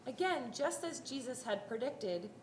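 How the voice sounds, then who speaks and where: A woman's voice: a little high, lively intonation, measured pace. A woman narrating a scripted dramatic reading of a Bible story. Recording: roomy echo, faint background noise.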